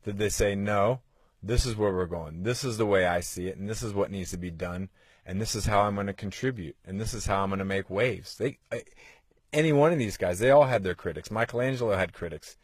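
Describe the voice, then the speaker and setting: Earnest low-voiced motivational man in his forties. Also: fairly quick, slightly rough, no echo. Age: 40s. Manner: earnest, exhorting, rhetorical questions. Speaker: podcast host. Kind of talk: motivational podcast talk.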